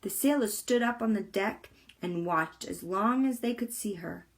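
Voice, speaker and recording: A woman reading a children's book aloud as a volunteer, with expressive, deliberate storytelling. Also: medium pitch.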